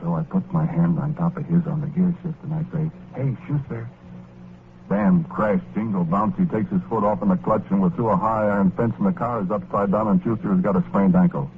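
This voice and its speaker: A man's voice: lowish voice, fairly quick, gravelly. An actor with an American accent playing a well-meaning, exasperated working-class ghost who tells his story in slangy 1940s radio drama style. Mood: wry storytelling. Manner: colloquial, folksy slangy storytelling. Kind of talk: 1940s radio horror-comedy acting, first-person narration. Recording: scratchy, noisy, narrow-band old radio recording.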